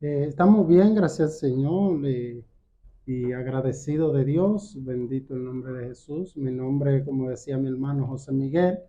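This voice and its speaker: A man with a Dominican accent giving a personal testimony as a guest, halting and repeating words as he goes. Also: mid-range voice, mellow. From Dominican Republic. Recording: dull, muffled lo-fi sound.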